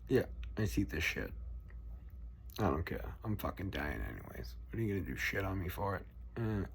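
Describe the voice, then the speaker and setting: A man's voice: deep voice, big pitch swings, moderate pace, vocal fry, a little hiss or hum. A man with a Boston accent ranting at length, swearing constantly and rambling. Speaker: political vlogger. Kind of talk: profane rambling rant to camera.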